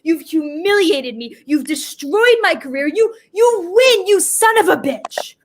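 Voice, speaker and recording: An actress playing Death as an indignant, commanding and exasperated figure in a staged play reading. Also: female voice, very high-pitched, lively intonation, a little slow. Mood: bitter, defeated. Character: Death personified, exasperated, humiliated, cursing bureaucrat.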